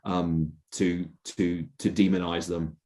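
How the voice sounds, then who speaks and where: A young man with a low, mellow voice talks through his views as a podcast guest. He restarts his sentences often and pads them with fillers, earnest and thoughtful. The tone is dark and warm rather than bright. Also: little pitch movement, slow. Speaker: journalist, interview guest. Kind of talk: casual podcast conversation.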